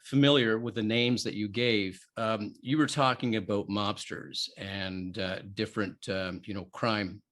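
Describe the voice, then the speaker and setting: A man's voice: fairly low pitch, fairly even intonation, a little slow, hardly any pauses, a bit bright. A man hosting a podcast interview, speaking conversationally and phrasing his questions carefully. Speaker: podcast host and interviewer, former detective.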